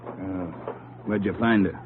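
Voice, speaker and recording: An American man acting a commanding police captain with a brisk, authoritative manner, in old radio style. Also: deep voice, steady tone. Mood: brisk, serious. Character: gruff, commanding male police captain driving his men hard. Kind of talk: 1940s-50s radio acting. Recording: tinny old radio recording, faint noise.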